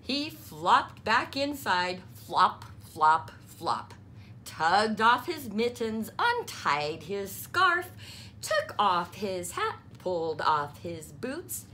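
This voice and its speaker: A woman reading a children's story aloud, deliberately, with playful emphasis on the sound words. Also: mid-range voice, sing-song, sharp tone, slight background noise. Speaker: storybook reader. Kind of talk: children's read-aloud narration.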